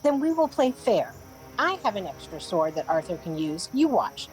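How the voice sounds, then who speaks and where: Woman acting proud young noblewoman, radio play, earnest, expressive intonation, faint music behind. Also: mid-range voice, normal speed, lightly acted, low-quality recording. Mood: firm resolve.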